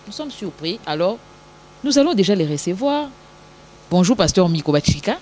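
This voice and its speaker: A woman's voice: medium pitch, sing-song, mellow, slight background noise. Hesitant woman TV host. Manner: formal, hesitant, many filler pauses. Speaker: TV show host. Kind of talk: formal television interview.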